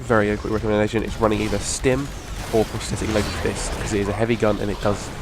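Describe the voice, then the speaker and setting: A hoarse young man, rambling casually through a video game weapon review. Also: fairly low pitch, level tone, fast, background noise. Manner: rambling, restarts a lot, casual swearing. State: hoarse from a cough. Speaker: gaming channel host. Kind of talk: casual gameplay commentary.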